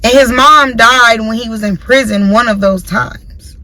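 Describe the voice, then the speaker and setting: A woman's voice: a little high, unhurried, pushed and bright. A woman in her late twenties hosting her own podcast, giving a blunt, profane opinion monologue and repeating her points for emphasis. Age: late 20s. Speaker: podcast host. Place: in a car.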